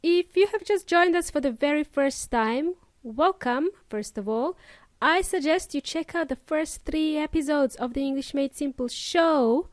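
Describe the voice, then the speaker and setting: High-voiced woman with an Eastern European accent. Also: a little slow, no echo, studio quality. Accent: Eastern European with New Zealand and Australian traces. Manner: upbeat, addresses listeners directly with Spanish asides. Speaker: English teacher podcast host. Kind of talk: friendly podcast lesson.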